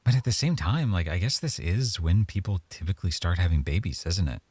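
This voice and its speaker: A man playing an affectionate, hesitant young boyfriend in an intimate ASMR roleplay, speaking softly and haltingly as he thinks aloud. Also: low voice, animated, husky, no echo. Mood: hesitant, unsure.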